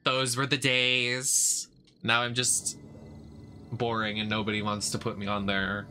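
Bright, campy man. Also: mid-range voice, completely flat delivery, a little slow, soft background music. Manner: campy, exaggerated, dramatic delivery. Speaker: drag performer and game streamer. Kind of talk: casual livestream chat. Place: indoor streaming setup.

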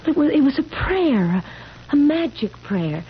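A woman's voice: high voice, big pitch swings, normal speed. An actress playing an anguished, pleading immortal young woman weary of life, in 1970s radio drama style. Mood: earnest. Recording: muffled, noisy 1970s radio recording.

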